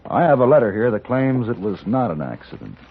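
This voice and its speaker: An actor playing a cool, courteous, steely gun-for-hire in a 1950s Western radio drama, speaking in a measured, dry, formal way. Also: male voice, mid-range voice, sing-song, a little hiss or hum. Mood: cool, firm. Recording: muffled vintage radio recording.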